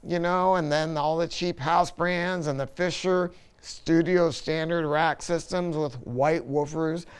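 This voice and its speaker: Clear-voiced man giving a casual product review. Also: fairly high pitch, fairly even intonation, unhurried. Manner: enthusiastic, informal, opinionated. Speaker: audio dealer presenting a product review.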